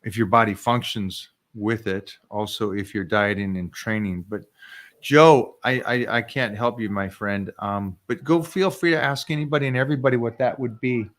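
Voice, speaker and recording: A man hosting a live fitness coaching stream, talking in an energetic, emphatic way and repeating words when he gets excited. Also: fairly low pitch, moderate pace.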